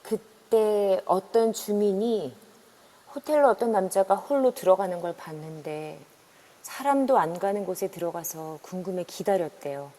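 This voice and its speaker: A woman's voice: medium pitch, measured pace, dark tone, lightly acted. A woman as a worried middle-aged woman, earnest. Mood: grave. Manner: earnest, urgent pleading. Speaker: voice actor. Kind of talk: amateur audio drama acting. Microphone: phone in an online voice room. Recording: long reverb on a voice chat app.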